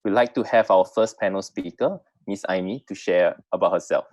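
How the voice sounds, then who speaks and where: Deep-voiced man moderating, dry sound. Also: moderate pace, a little mellow, dry recording. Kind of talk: polite semi-formal panel moderation. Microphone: online video call.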